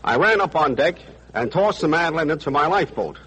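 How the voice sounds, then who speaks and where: Male seaman, scripted wartime radio guest, slightly high pitch, roughened tone. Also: expressive, a little fast, bright, forceful tone, rough recording. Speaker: merchant marine chief steward. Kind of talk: scripted 1940s radio interview answers.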